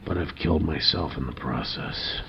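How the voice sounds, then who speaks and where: An actor playing a weary, defiant research doctor in an audio drama, gravelly and deliberate, with resignation in his delivery. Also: a bass voice, dull audio, noisy background. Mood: grim, resigned.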